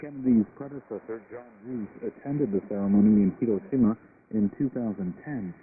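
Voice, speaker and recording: A man's voice: lowish voice, a little fast, phone-quality sound. A man reading scripted international news for a radio broadcast. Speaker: newsreader. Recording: noisy, band-limited shortwave radio broadcast. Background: loud shortwave static and noise.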